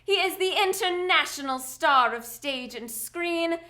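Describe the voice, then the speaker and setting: Woman acting a high, bright, poised socialite. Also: expressive, unhurried, full character voice. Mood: formal, gracious. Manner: formal, polished, composed. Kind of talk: live radio drama acting.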